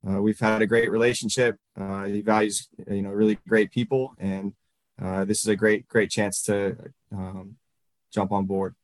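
Halting young baseball coach from Virginia. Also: male voice, on the low side, little pitch movement, no room sound. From Northern Virginia, US. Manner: halting, many fillers and restarts. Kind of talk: interview answers.

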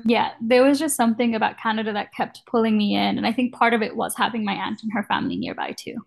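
Gravelly Kenyan-born woman, chatty guest. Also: on the high side, big pitch swings, quick pace. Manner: chatty, laughs easily. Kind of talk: casual interview.

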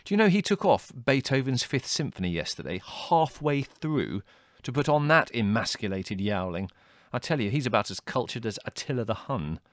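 A man's voice: mid-range voice, big pitch swings, normal speed, dry recording, clean studio sound, pitched above the performer's own voice, close to an everyday voice. A man voicing an exasperated, scornful young man in a storybook reading, theatrical, sardonic and snapping.

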